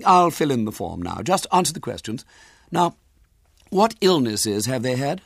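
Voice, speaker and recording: A man's voice: medium pitch, sing-song, moderate pace, vocal fry, dry recording, studio quality, pitched above the performer's own voice. A man voicing a bossy, officious middle-aged woman who questions sharply and disapproves.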